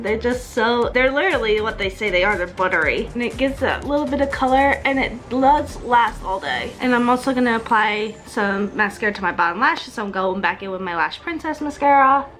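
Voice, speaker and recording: A woman's voice: mid-range voice, fairly bright tone. A young woman in her twenties chatting casually through her everyday makeup tutorial, peppering her talk with "like". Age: young adult. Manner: chatty, frequent "like" fillers. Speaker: beauty vlogger, esthetician.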